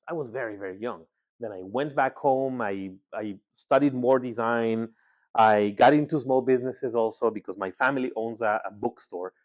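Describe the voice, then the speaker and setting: A man from Ecuador giving a conversational presentation as a guest speaker, often pausing with filler words and repeating himself. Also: medium pitch, darkish tone. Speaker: guest speaker, agency founder. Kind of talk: presentation talk. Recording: slightly dull, lo-fi sound.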